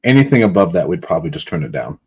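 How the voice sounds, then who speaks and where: Man, very low voice, husky, casual chatty phone talk. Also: big pitch swings, very fast. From California. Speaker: real estate investor. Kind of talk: phone call.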